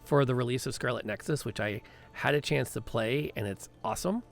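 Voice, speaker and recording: A man's voice: medium pitch, fairly quick, creaky. A man hosting a podcast and live stream, chatting in a rambling, upbeat way with lots of fillers and restarts. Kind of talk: casual chat on a live stream.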